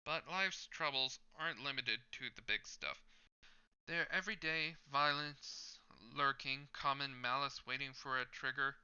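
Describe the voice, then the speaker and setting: A man's voice: medium pitch, a little slow, pushed and bright, clean tone, highs cut off, voice pushed up. A man voicing the earnest inner monologue of a nervous young would-be hero.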